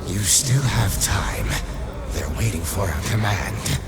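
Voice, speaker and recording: A man voicing a disembodied lich guide in a dark fantasy radio play, urgent, commanding and exasperated. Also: lowish voice, animated, takes it slowly. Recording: dramatic music behind. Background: loud music bed.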